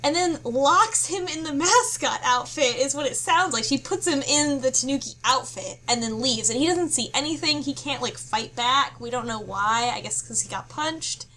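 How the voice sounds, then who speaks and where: A woman's voice: sits high, expressive, moderate pace, few pauses, bright, forceful tone. A woman chatting casually as a podcast panelist, recapping a story piece by piece with some hesitation. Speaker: book club podcast panelist. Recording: clear background noise.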